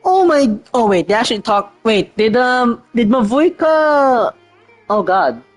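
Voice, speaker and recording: A woman streaming a game with casual, informal, reactive commentary and an excitable manner. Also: fairly high pitch, a little slow, faint music behind.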